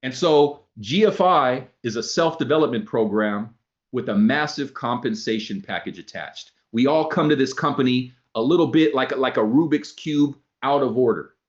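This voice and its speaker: A man giving a motivational talk to a group, animated, acting out both sides of his stories. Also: medium pitch, moderate pace. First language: not English. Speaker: motivational speaker. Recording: dull lo-fi sound.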